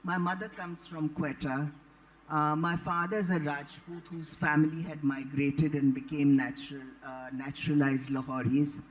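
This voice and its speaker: An elderly woman with an Indian accent speaks as an author on a live panel. She tells her stories in a deliberate, articulate manner, often wandering into side remarks before returning to the point. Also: low-pitched, fairly even intonation, low-quality recording. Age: late 70s. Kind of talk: anecdotal storytelling at a live panel.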